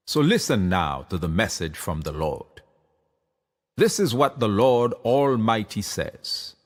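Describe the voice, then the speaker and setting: A man reading scripture aloud in a solemn, deliberate way. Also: low voice. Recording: recording with long reverb.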